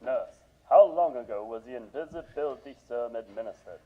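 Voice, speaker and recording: A man's voice: mid-range voice, expressive, fairly quick, soft background music, lightly acted. A man acting as a commanding surgeon in a radio drama, clipped and urgent, on a thin, narrow recording. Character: tense, commanding male surgeon at work. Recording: thin, phone-like narrow sound.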